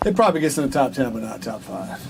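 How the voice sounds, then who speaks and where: A man's voice: mid-range voice, big pitch swings, fast, noisy background. A man co-hosting a movie show in casual, slangy, sweary chat. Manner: profane, slangy. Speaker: co-host of a movie discussion show. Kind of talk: casual chat about films.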